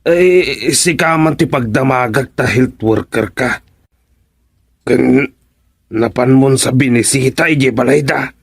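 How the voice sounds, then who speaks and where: A man's voice: medium pitch, takes it slowly, gravelly, husky, no echo, studio quality, full character voice. An actor with an Ilocano Filipino accent playing a shifty, bumbling village councilman who hems and stammers, in a radio comedy drama. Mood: probing, hesitant. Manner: hesitant, stammering, full of ums.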